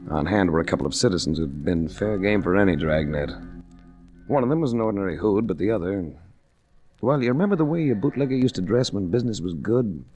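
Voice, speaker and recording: An actor playing a wry, laconic adventurer detective, narrating and talking in hard-boiled 1940s radio style. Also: male voice, deep voice, animated, fast talker, warm tone, highs cut off, faint music behind. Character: wry, cool male ex-attorney adventurer detective. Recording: noisy old 1940s radio recording.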